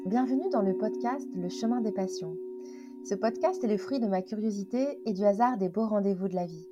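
A woman hosting a podcast, reading a measured, gentle introduction and interviewing her guest. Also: medium pitch, highs cut off, over music. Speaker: podcast host. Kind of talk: scripted intro then interview.

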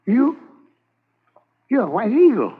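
A jittery stammering settler. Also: male voice, very high voice, big pitch swings, takes it slowly, breathy edge, full character voice. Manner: nervous stammering with many uh and repeats. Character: jittery backwoods settler man. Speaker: settler and trapper. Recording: tinny 1950s radio transcription.

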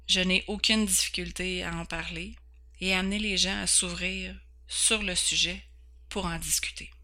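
Woman, 40s, Québécois accent; podcast host, earnest and candid, slight stumbles, crisp bright tone. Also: lowish voice, steady tone, moderate pace. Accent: Québécois French. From Quebec, Canada. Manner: earnest, candid, occasionally stumbling over words. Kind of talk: personal introduction.

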